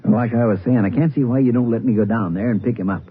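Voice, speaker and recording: An actor playing a folksy, impatient small-town Texas sheriff in a 1950s radio drama. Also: male voice, medium pitch, fast, lightly acted. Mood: puzzled, impatient. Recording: muffled, hissy 1950s radio recording.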